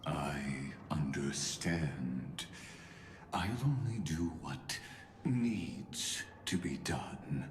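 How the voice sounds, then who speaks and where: Very deep, echoing calculating villain. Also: male voice, background noise, exaggerated voice. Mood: coldly courteous. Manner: measured, halting, ominously courteous. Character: cold, calculating male villainous genius, formally polite. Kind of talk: dramatic game voice acting. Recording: echoing voice, game music behind.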